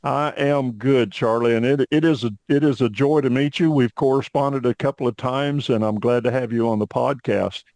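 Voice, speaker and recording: A middle-aged man hosts a history podcast in a friendly, chatty way, dropping in frequent uhs between his thoughts. His voice sits at a medium pitch and is recorded dry and close. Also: fairly even intonation, moderate pace, few pauses, a little mellow, no room sound. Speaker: podcast host. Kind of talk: podcast interview hosting.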